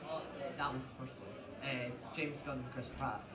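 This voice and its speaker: A man's voice: medium pitch, normal speed, a bit bright. A man in the audience casually asking a guest questions, with plain, hesitant wording. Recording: echoey hall, distant, crowd behind.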